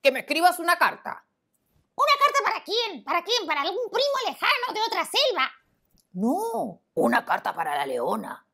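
A woman doing a squeaky proud monkey. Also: wildly swooping pitch, normal speed, gravelly, sharp tone, heavily acted. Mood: cheerful. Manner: squeaky and bouncy. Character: chatty male monkey, proud and cheerful.

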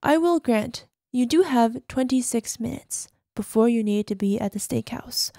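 A woman acts out an anxious, possessive girlfriend leaving voicemails in an ASMR roleplay. Her high female voice is soft-toned and mellow, recorded dry and close. Sugary pleading carries an undercurrent of controlled threat. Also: normal speed, creaky, no echo. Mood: stern, exasperated. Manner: sugary pet names, hesitant pleading sliding into controlled threat. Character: anxious, clingy, quietly menacing possessive girlfriend.

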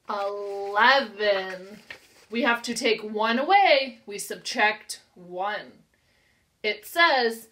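A woman's voice: fairly high pitch, a bit bright. An American woman in her thirties, a preschool teacher reading aloud and counting for young children in a simple, encouraging, instructional way with careful enunciation.

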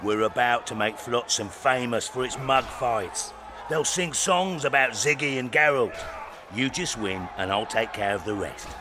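An actor playing a boastful, scheming fight promoter in a video game, with a grandiose way of talking. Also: male voice, mid-range voice, animated, measured pace, a crowd in the background, noisy background, lightly acted. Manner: grandiose, speaks of himself in third person.

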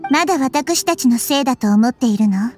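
A woman voicing a wise, childlike young goddess in an anime game, calm, measured and gently authoritative. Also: sits high. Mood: composed, stern. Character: wise, composed childlike little goddess girl. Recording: music under the voice.

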